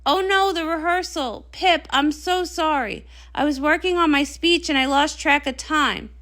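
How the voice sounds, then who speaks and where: Woman voicing an earnest, stressed pony princess, bright-toned. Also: high voice, a little slow. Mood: apologetic, stressed. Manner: apologetic, defensive. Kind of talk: comic read-along voice acting.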